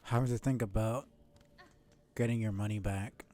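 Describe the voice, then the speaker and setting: A man's voice: lowish voice, steady tone, normal speed, dark tone. A man doing casual live commentary as he plays a video game, muttering to himself with mild swearing.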